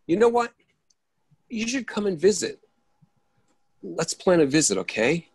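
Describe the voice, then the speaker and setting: A man playing an exasperated, easily flustered character in an absurdist stage play reading, halting and repeating himself over a video call. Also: high-pitched, very animated, husky, no room sound, exaggerated voice. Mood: chatty, fretful.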